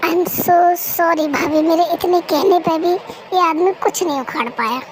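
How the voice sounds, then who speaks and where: A woman's voice: very high voice, a little slow, a little hiss or hum. A woman voicing a cheeky young brother-in-law who teases and plays mock-offended, in an amateur fan dub. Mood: teasing.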